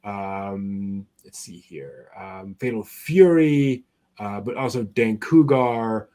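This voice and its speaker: A man co-hosting an anime news show in a casual, halting way, as if searching through his notes while he talks. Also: lowish voice, dark tone.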